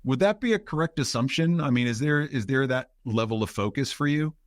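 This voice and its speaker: A man hosting a conversational interview, speaking in a reflective, articulate way with measured phrases. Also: mid-range voice, animated, dry recording, studio quality. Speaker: podcast host, interviewer.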